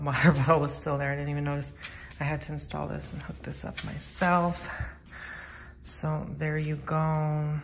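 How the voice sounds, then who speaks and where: A man's voice: on the high side, vocal fry, slight background noise. A man in his thirties chatting casually at home into a handheld phone, rambling and filling his talk with "like". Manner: chatty, rambling, heavy use of "like". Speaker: vlogger. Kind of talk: casual vlog chat. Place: home, indoors. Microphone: handheld phone camera.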